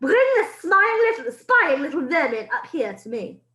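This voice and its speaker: Woman putting on a screeching witch leader, shrill, commanding, cruel, storybook villain, echoey room. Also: very animated, moderate pace, a bit bright. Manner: shrieking, commanding.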